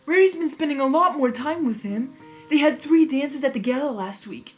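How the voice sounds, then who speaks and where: A woman's voice: high voice, lively intonation, brisk, soft background music, pitched above the performer's own voice. A woman voicing a young male dragon in a storybook reading, lovesick, sulky and eager, with an earnest, whiny way of talking. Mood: sulky, hurt.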